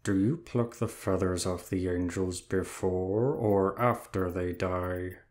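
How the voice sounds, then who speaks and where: Someone playing a very slow, low-voiced lazy demon. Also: fairly even intonation, a little mellow. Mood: weary, bored. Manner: drawling, tired, indifferent. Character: lazy, weary demon embodiment of Sloth. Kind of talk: audio drama acting.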